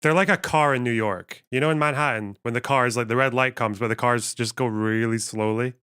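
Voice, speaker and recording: A man's voice: medium pitch, steady tone, brisk, fairly bright tone, smooth. A man hosting a livestream, thinking aloud in a rambling, wry way while reading out chat. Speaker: livestream host.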